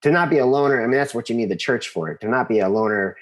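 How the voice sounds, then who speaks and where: A man's voice: mid-range voice, fast. An American man in his forties speaking casually as an interview guest, thinking aloud and repeating phrases for emphasis.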